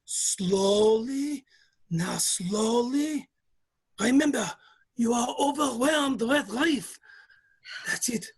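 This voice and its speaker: A woman playing a commanding film director in an old-time radio drama. The voice is high and very slow, drawing out each instruction with theatrical weight. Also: breathy edge, exaggerated voice, rough recording. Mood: intense, commanding. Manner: drawn-out, coaxing instructions. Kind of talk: 1940s radio drama acting.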